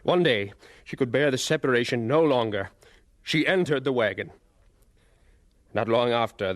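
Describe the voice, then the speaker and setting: A man playing a grown son who narrates his family's sorrows in an audio drama, in solemn, measured storytelling. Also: medium pitch, no echo, close to an everyday voice.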